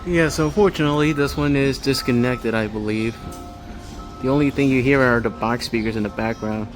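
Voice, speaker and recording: A man's voice: medium pitch, brisk. A man narrating a casual walkthrough of a large store, thinking aloud in a rambling, observational way. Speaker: vlogger. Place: inside a large home improvement store. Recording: music playing in the background. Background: store music from overhead speakers.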